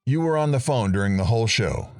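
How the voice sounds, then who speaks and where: A man's voice: medium pitch, lively intonation, fast talker, lightly acted. A man playing a musing interviewer in an audio drama, conversational and frank. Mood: irked. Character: musing male interviewer, frank about his regrets.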